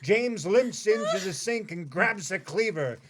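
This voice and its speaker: A man's voice: high voice, lively intonation, measured pace, husky. A man narrating an audio play, reading scripted stage directions.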